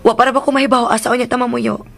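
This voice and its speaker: A bright female voice plays a long-suffering grown daughter in radio drama style. Her Cebuano-accented delivery is conciliatory and pleading, patient with everyone around her. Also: on the high side, little pitch movement, rapid-fire, vocal fry.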